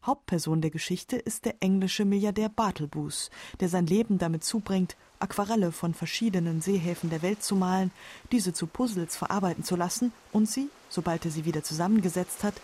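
A woman's voice: medium pitch, a little fast, dark tone, slight background noise. A woman narrating a scripted radio documentary in a composed, factual way.

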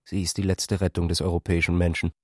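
A man's voice: low voice, level tone, quick pace, husky, dry recording, clean studio sound. A man reading scripted literary narration in a radio drama. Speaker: narrator and character reader.

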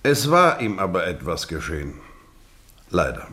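Male investigator, radio drama, suave, ironically polite, probing, highly varied intonation, measured rhetoric. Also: huge pitch swings, pushed and bright, heavily acted. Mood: suave, insinuating. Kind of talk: German radio drama acting, interrogation scene.

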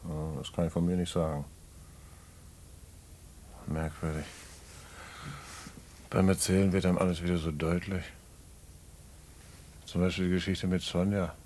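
Low-voiced, wistful small-time crook. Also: male voice, flat delivery, measured pace, pauses often, darkish tone, a little hiss or hum. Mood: weary, musing. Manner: colloquial, musing, rueful. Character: streetwise male small-time crook in jail, wistful, fatalistic. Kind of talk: naturalistic German radio play acting.

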